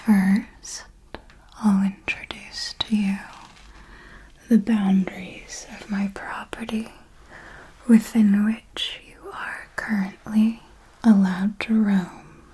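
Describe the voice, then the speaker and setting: A woman voicing a seductive, possessive vampire mentor in an ASMR roleplay, speaking in a soft breathy whisper with a deliberate, formal, teasing manner. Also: mid-range voice, flat delivery, close to an everyday voice, poor audio. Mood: commanding, protective.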